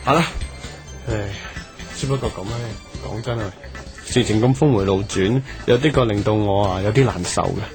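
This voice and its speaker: A man's voice: lowish voice, moderate pace, over music, natural voice. An actor playing a brash, cocky and playful young male company boss in a Cantonese radio drama. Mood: exasperated.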